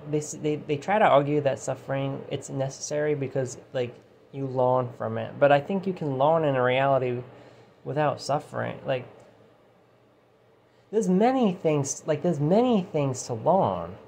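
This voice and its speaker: A man musing aloud in a loose, rambling monologue, swearing casually and tagging lines with "you know", his voice going hoarse. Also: mid-range voice, mellow. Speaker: channel host. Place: his room, indoors. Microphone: phone set down nearby. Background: heater hum.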